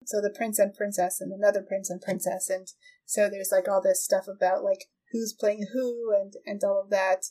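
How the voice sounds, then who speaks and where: A woman hosting a podcast, chatting casually in a halting, giggly way as she recaps a show. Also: medium pitch, little pitch movement, warm tone, low-quality recording. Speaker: podcast host. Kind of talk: casual chat, recapping an anime.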